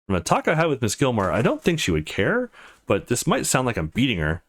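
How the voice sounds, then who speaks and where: A self-assured young visual novel hero, read aloud by a man, musing and talking in a casual way. The pitch sits in the middle and moves with very lively swings. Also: fairly quick. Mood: amused. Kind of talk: reading game narration and dialogue.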